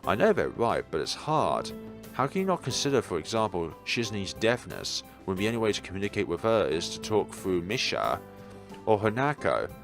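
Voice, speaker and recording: A man's voice: on the low side, very animated, moderate pace, music in the background. A man hosting a let's play, reading a visual novel aloud and adding his own commentary, sometimes stumbling and correcting himself.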